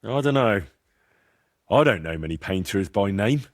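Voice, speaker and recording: An actor playing a plain-spoken, sceptical young medieval adventurer in a video game, with a down-to-earth, conversational manner. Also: male voice, on the low side, lively intonation, normal speed. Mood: unconvinced. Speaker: protagonist of a game. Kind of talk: video game cutscene dialogue.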